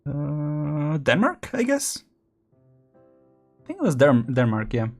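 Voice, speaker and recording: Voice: mid-range voice, wildly swooping pitch, slow, soft background music. A streamer giving casual let's-play commentary, chatty and laughing often while thinking aloud.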